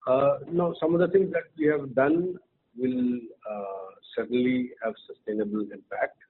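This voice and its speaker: A man giving halting, careful answers as an executive on an earnings call. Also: mid-range voice, gravelly, husky. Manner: halting, hesitant, careful delivery. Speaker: company executive. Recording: noisy, tinny phone line.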